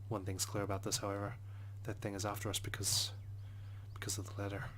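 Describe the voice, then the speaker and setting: A man's voice: lowish voice, monotone, quick pace, a bit bright, a little hiss or hum. A man reading visual novel narration aloud in an introspective, first-person manner. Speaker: let's play narrator.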